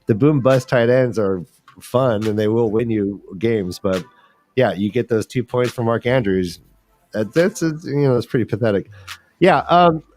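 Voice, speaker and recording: A man's voice: on the low side, a little mellow. A man hosting a casual fantasy football livestream, chatting in a jokey, colloquial way and often addressing listeners directly. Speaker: host.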